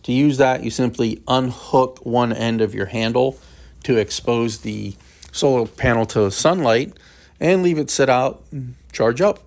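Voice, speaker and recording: A man's voice: medium pitch, measured pace, gravelly, a little mellow. A man with a mid-range voice presents a product review. He explains each feature and step in a plain, practical manner, as in an instructional unboxing video.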